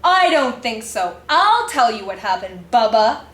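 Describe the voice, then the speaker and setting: Expressive high-voiced woman reading a storybook. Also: unhurried. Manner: expressive, girlish storytelling with exaggerated reactions. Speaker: teacher reading a picture book aloud. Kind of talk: dramatic storybook read-aloud in character. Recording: roomy echo with faint music.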